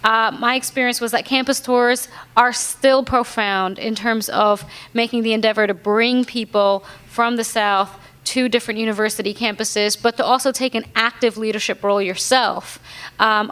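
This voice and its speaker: A woman's voice: fairly high pitch, fairly bright tone, clear, resonant tone. A woman in her thirties who grew up in Indonesia, speaking as a panelist and explaining her points earnestly, with frequent fillers. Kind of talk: panel discussion answer. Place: conference hall.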